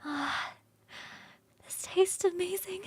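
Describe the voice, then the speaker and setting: A woman voicing a playful, hungry and affectionate succubus roommate in an ASMR roleplay, coaxing and teasing with sighs and soft, breathy turns. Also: very high-pitched, voice pushed up. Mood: delighted, teasing. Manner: coaxing, sighing, drawn-out savouring, shifting into soft whispers.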